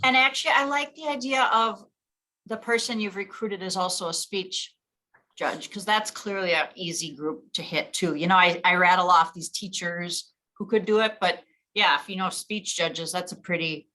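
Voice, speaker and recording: A woman's voice: fairly low pitch, moderate pace, bright, forceful tone, low-quality recording. An American woman leading a meeting in casual, conversational talk.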